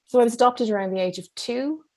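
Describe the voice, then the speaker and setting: An Irish woman in her fifties speaking as an interview guest, in an articulate, measured and reflective way. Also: on the high side, dark tone. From Dublin, Ireland. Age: 50s. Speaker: psychotherapist being interviewed.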